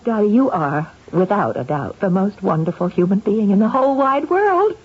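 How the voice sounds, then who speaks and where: Theatrical, expressive radio-drama wife. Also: female voice, mid-range voice, moderate pace, slightly rough. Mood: gushing, grateful. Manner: theatrical, earnest. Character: effusive, touchy, anxious wife and younger sister. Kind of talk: old-time radio drama acting. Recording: muffled, noisy old radio recording.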